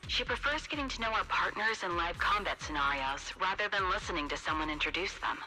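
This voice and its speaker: An easygoing male military pilot talks courteously in game-dialogue style. His voice sits higher than most men's, and music plays clearly behind him. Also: lively intonation, a little fast, pushed and bright, full character voice, poor audio. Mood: friendly, explanatory.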